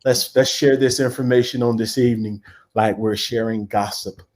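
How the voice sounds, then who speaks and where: A middle-aged pastor welcoming viewers to his church's online talk show. He speaks in a folksy, looping way, repeating himself and dropping homespun country sayings as he goes. His voice sits at an average pitch for a man. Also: fairly even intonation, normal speed, darkish tone. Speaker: pastor and host. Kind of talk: casual livestream talk show intro.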